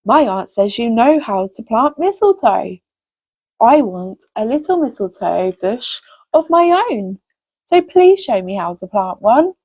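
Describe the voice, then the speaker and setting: A woman's voice: fairly high pitch, big pitch swings, a little slow, darkish tone, husky, no room sound, exaggerated voice. A woman voicing a curious, eager little boy pixie in a children's storybook. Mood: polite, eager. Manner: inquisitive, eager.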